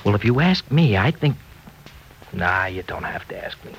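An actor playing an earnest young working guy in love, halting and boyish with streetwise slang, in an old radio drama. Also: lowish voice, big pitch swings, creaky, lo-fi sound. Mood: halting, concerned. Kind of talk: 1940s radio drama acting. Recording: old radio recording with background noise.

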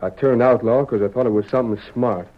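Indignant, blunt cowboy with a past played by a man, mid-pitched American Western voice, old radio drama. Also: fast talker, close to an everyday voice. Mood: defiant. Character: reformed outlaw ranch hand, defiant, cornered, hot-tempered. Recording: dull old radio recording.

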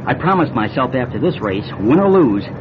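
A man's voice: big pitch swings, fairly quick, close to an everyday voice. A man playing a brash, friendly young race car driver with breezy, slangy talk in a classic radio drama. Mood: excited, boastful. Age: young adult. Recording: noisy muffled old radio recording. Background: bus engine rumble.

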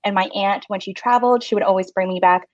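A woman's voice: medium pitch, steady tone, fast, a little mellow, no room sound, lo-fi sound. A woman in her thirties from Singapore, a stationery shop owner and guest, talking in a friendly way with many fillers. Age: 30s. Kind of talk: casual interview chat.

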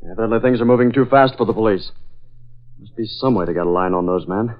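An actor playing a serious, authoritative newspaperman sleuth in an old radio drama, crisp and commanding. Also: on the low side, fast talker, slight background noise, lightly acted. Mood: musing. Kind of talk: 1940s radio acting. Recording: muffled vintage radio recording.

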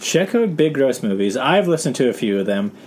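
A man's voice: medium pitch, very animated, a little fast, darkish tone, a little hiss or hum. A man hosting a comedy podcast, earnest and a little rambling as he thanks the guest and recommends shows. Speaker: podcast host. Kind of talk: casual comedy banter.

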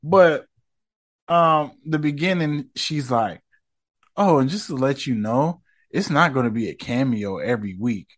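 A man hosting a casual podcast review, talking in a loose, slangy, profane and rambling way, his voice a bit rough from smoking. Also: medium pitch, a little slow. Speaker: podcast host.

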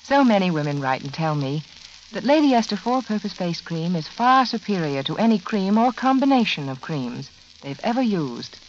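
A woman delivering a scripted 1940s radio advertisement as the show's hostess, measured, intimate and persuasive. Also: on the low side, sing-song. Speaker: radio hostess and sponsor spokeswoman. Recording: muffled, noisy vintage radio recording.

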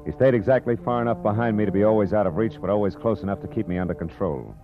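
A hard-boiled, wry private detective, played by a man in an old radio drama, speaking tersely in a lowish voice. He also narrates the story in the first person. The sound is dull and lo-fi. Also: fast, soft background music, natural voice. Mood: narrating. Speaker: narrator and lead character.

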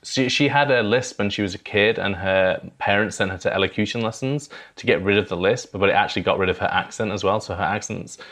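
A man's voice: on the low side, fast, hardly any pauses, a bit bright. A man with a mild, generic Northern English accent, chatting as a guest about baking and accents. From Northern England. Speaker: guest, baker and cookbook author. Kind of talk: casual chat.

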